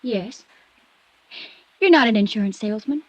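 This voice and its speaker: Give a headstrong young daughter in a vintage radio play. Her voice is high and she speaks bluntly, always ready to challenge. The recording is dull and old. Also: animated, moderate pace, no room sound. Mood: probing, blunt. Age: young adult. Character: defiant, headstrong young rich man's daughter. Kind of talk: 1950s radio drama acting.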